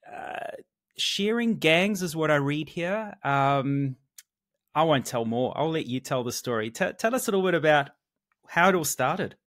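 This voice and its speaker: A man's voice: on the high side, lively intonation, a little slow, fairly bright tone. A man speaking as a podcast host, introducing the episode and interviewing a guest.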